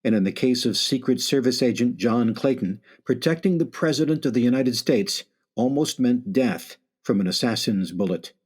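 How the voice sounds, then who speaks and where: A man playing a solemn, eerie announcer narrating a suspense radio play in a measured, theatrical way, with music underneath. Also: mid-range voice, animated, darkish tone, breathy edge.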